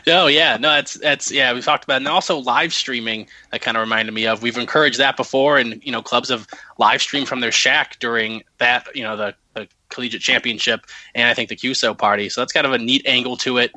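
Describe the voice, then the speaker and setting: A man talks informally as a guest and organizer. His voice is very bright and forward at an ordinary male pitch, recorded dry. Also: steady tone, a little fast, no echo. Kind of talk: casual interview talk.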